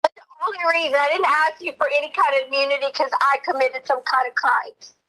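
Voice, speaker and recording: A woman on a recorded phone call, giving a rambling, halting personal account and quoting herself and others as she goes. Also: sits high, pushed and bright.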